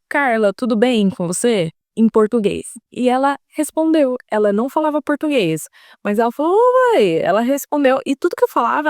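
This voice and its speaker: A woman's voice: mid-range voice, dark tone, no echo, studio quality. A young adult woman with a São Paulo Brazilian accent, earnestly retelling a frightening experience in a vlog, quoting her own thoughts dramatically. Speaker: vlogger. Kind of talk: storytelling vlog.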